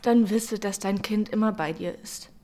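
An actress playing a condemned young mother in a German radio drama, tender and bravely composed, with a halting, breathy, often whispering delivery. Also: mid-range voice, dark tone, close to an everyday voice. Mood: tearful farewell, tender.

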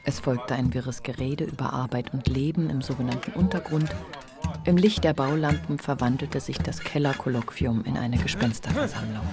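An actor narrating a literary radio play in a measured, sober way. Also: male voice, medium pitch, over music, close to an everyday voice. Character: male literary narrator telling of squatters, sober.

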